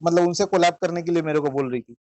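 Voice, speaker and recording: A man's voice: on the high side, fast, warm tone, no room sound. An Indian man hosting a phone interview, questioning and instructing a caller in a probing way, mixing in English words. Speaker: interviewer and host of a channel.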